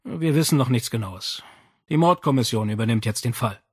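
Guy playing a no-nonsense grown son in an audiobook, firm, insistent, a bit husky. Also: mid-range voice, brisk, dry recording, clean studio sound, lightly acted. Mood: matter-of-fact. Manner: insistent, matter-of-fact. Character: firm, practical adult son, island policeman. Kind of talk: audiobook character acting.

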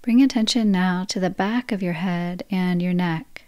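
A woman guiding a yoga nidra relaxation practice, speaking in slow, soft, soothing phrases. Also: on the low side, dry recording, studio quality. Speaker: meditation guide.

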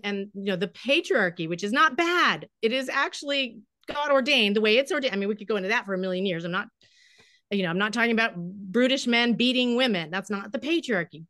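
A woman's voice: medium pitch, fairly bright tone, no room sound. Brief: middle-aged woman guest, emphatic and folksy, dry close sound on a video call. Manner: emphatic, folksy, trails into restarts. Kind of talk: animated interview answers. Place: home library with bookshelves.

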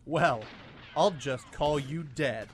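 Man playing a slow, emphatic school gunman. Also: a little high. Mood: mocking. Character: tough mocking gunman who grows awed and frightened. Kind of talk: student live radio theatre acting. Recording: noisy live radio play with gunfire effects.

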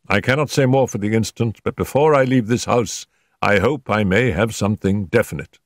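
A man voicing a calm, incisive male detective who speaks in measured, clipped phrases. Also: lowish voice, no echo, clean studio sound, pitched above the performer's own voice, lightly acted.

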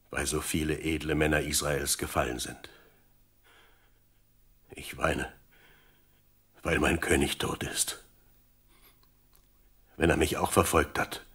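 An actor playing a grieving, pious warrior in a Bible radio play, speaking gravely and solemnly. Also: male voice, extremely low pitch, fairly bright tone, full character voice. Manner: slow, grave, declamatory.

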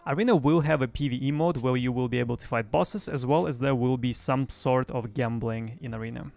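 Young adult male gaming news presenter, creaky edge, mid pitch, home streaming setup. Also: brisk, a little mellow. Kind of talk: semi-scripted news recap. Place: small home streaming room. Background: faint music.

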